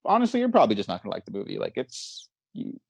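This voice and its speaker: A man's voice: medium pitch, huge pitch swings, fast, vocal fry, husky, no echo. Rambling, jokey man hosting a podcast. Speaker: podcast host. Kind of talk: casual podcast chat about movies.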